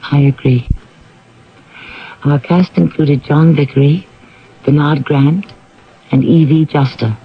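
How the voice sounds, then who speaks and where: A man hosting a 1970s radio mystery show with theatrical, deliberate scripted narration. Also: on the high side, muffled recording. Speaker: radio drama host. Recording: band-limited 1970s radio broadcast with music.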